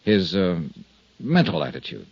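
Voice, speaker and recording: A shrewd American insurance investigator from a 1950s radio drama. His voice is low and a little rough, with lively swings as he probes in a clipped, coolly polite way. The sound is an old, muffled broadcast. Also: unhurried, no room sound, exaggerated voice. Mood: measured, probing. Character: tough, shrewd freelance male insurance investigator. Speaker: radio drama lead actor. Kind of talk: 1950s radio detective acting, narration and dialogue.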